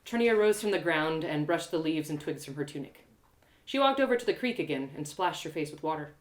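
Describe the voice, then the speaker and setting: A woman performing a first-person adventure story as a live reading, dramatic and expressive. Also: low-pitched, a little fast, poor audio.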